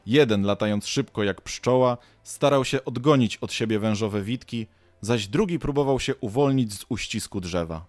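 A man's voice: mid-range voice, normal speed, darkish tone, no echo. A man narrating an audio drama as a storyteller, reading scripted prose. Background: faint music.

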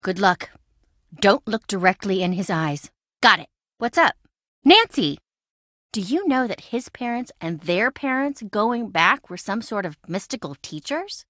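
A woman's voice: medium pitch, sing-song, measured pace, fairly bright tone, dry recording, studio quality. An actress voicing a chatty, impulsive young woman in a video game, breezy, wry and self-dramatizing. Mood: breezy, dramatic. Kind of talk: video game voice acting, conversational.

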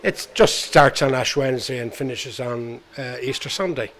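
A man's voice: medium pitch, moderate pace. An older Irish man with a mid-range voice chats easily and drops dry little jokes as he answers. He is recorded on a phone held close to him in a small pub. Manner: relaxed, wry, joking. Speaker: pub regular. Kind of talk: casual interview. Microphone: phone held close to the face.

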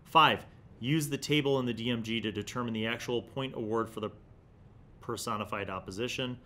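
Man, forties, medium pitch, enthusiastic casual commentary, emphatic reading aloud, close desk mic, slight background rumble. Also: brisk, bright, forceful tone. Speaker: host of a tabletop gaming magazine review series. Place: small indoor studio room. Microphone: desk microphone close in front.

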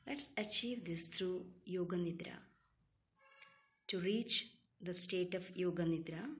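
A woman leads a guided relaxation meditation, giving calm, soothing instructions in short, separated phrases. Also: on the low side. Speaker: yoga nidra meditation guide.

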